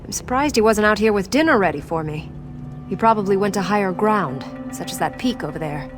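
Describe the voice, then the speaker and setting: A woman plays a reserved adventurer in a fantasy game, with a mid-pitched voice. She sounds awkward and hesitant. Clear music runs underneath. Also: expressive, fairly quick, lightly acted. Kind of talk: video game acting.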